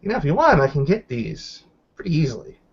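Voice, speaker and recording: A man's voice: fairly high pitch, very animated, brisk, breathy edge, full character voice. Man playing a stern female werewolf, muffled recording. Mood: sly, friendly. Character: stern, smirking, rule-minded female werewolf.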